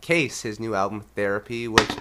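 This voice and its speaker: A man's voice: fairly low pitch, moderate pace, very noisy. A man with a nasal, congested voice hosting a casual music podcast. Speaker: podcast host.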